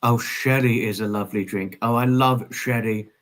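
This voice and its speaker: This is a man in his twenties hosting a casual livestream. He greets and answers viewers with effusive, old-fashioned politeness. His phrasing is wordy and formal, and he tends to circle back and repeat what he has just said. His pitch sits in an ordinary middle range for a man. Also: fairly even intonation, moderate pace. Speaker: livestream host.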